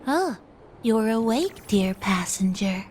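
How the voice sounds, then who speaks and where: Gentle, slow-speaking young game companion. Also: female voice, medium pitch, animated, a little hiss or hum. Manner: soft, soothing, unhurried, caring. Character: gentle, attentive young female companion greeting a passenger. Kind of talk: video game character dialogue. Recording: voice over game background music.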